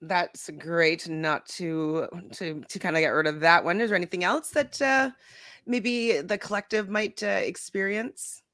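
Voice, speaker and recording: Earnest woman coach chatting, kinda low voice, dry sound. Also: measured pace, a bit bright, no room sound. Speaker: acting and hypnosis coach. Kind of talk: casual conversational chat.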